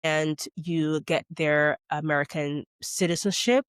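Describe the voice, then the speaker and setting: A woman's voice: low voice, fairly even intonation, unhurried, smooth, no echo, studio quality. Chatty woman vlogger from Jamaica. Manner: chatty, conversational, frequent "okay?" and "you know". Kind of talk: casual talking-head explainer.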